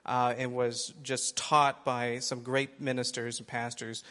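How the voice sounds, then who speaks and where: A man's voice: medium pitch, flat delivery, unhurried, pushed and bright. A man from Ohio introduces himself to a congregation in an earnest and grateful way, now and then stumbling over the start of a phrase. His mid-range voice carries through a large sanctuary with a long reverb. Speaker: pastoral candidate. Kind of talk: church introduction speech.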